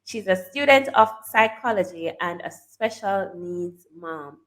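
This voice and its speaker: A woman's voice: mid-range voice, lively intonation, unhurried. A woman hosting an interview, asking questions in a conversational way and sometimes stumbling over words. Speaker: interview host.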